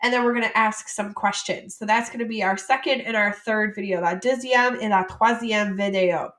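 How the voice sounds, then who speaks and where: A woman from the United States teaching French vocabulary, saying each word slowly and then explaining it in casual English. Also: mid-range voice, fairly even intonation, fairly bright tone, echoey room. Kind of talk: vocabulary lesson.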